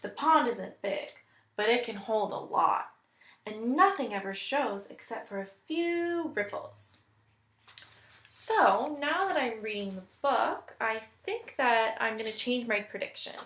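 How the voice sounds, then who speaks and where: Woman, teacher reading a children's book, slow deliberate pace, slightly high voice, expressive, reverberant room. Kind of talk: picture-book read-aloud with think-aloud comments. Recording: echoey room.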